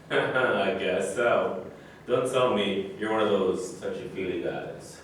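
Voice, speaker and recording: A man plays an easygoing pleasure-seeker in a stage play. His voice is on the low side. Heavy echo and faint noise surround it. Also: slow. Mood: teasing. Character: laid-back pleasure-seeking man, teasing. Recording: distant mic, very echoey, faint noise.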